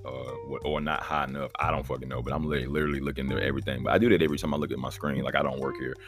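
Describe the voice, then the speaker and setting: A man with a very deep voice and an Oklahoma accent rambles through a casual solo podcast. He is profane and laid-back, in his thirties, and faint music plays behind him. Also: little pitch movement, very fast. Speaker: podcast host.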